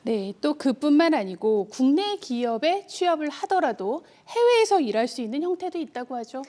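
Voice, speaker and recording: A woman presenting a news interview segment. Her voice is high and very animated in pitch, with a soft, mellow tone, as she delivers polite scripted prompts and questions. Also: normal speed.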